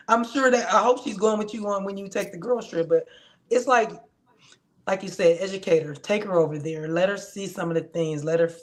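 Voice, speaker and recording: Relaxed woman giving frank opinions. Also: mid-range voice, normal speed. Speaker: podcast co-host recapping reality TV. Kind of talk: casual chat.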